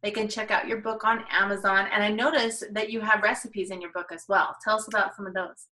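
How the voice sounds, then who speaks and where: A woman's voice: medium pitch, fairly even intonation, fairly quick, pushed and bright. A woman co-hosting a podcast, interviewing a guest casually. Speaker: podcast co-host.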